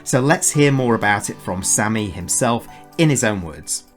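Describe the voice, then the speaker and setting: A man hosting a podcast, reading introductions and putting questions in a measured, articulate presenter's manner. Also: lowish voice, lively intonation, soft background music. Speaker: podcast host. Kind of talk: scripted podcast introduction and interviewing.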